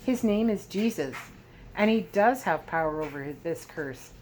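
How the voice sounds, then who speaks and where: A woman's voice: lowish voice, expressive, normal speed, a little mellow, slight background noise, close to an everyday voice. Frank, heartfelt young woman Lila, read by a woman. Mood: gentle, sincere.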